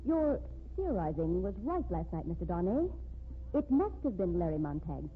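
An insistent, earnest woman in a 1950s radio mystery. Her pitch moves up and down a lot. The old recording is tinny and slightly noisy. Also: very animated, normal speed. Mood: anxious certainty. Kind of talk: 1950s radio drama acting.

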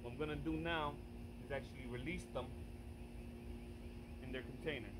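A relaxed man in his thirties talks his viewers through a hands-on backyard project in an easygoing, conversational way. He is picked up by a camera standing a few steps off outdoors, and steady outdoor noise with passing traffic runs under his voice. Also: medium pitch, moderate pace. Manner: relaxed, chatty, addresses viewers directly. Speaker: fish breeder vlogger. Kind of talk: casual how-to vlog. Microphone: far camera on a tripod.

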